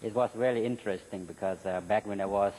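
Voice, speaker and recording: A middle-aged man with a Thai accent, Thai his first language, answering interview questions in halting English with many fillers. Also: on the low side, a little mellow, low-quality recording. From Thailand. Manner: halting, many "uh" and "you know" fillers, laughs between answers. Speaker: interview guest. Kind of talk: recorded interview.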